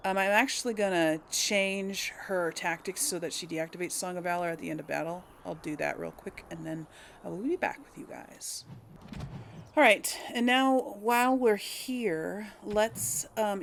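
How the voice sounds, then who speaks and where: A woman's voice: lowish voice, a little slow, gravelly, a little hiss or hum. A woman giving casual, hesitant let's play commentary, thinking aloud to viewers as she plays. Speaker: host of a gaming let's play.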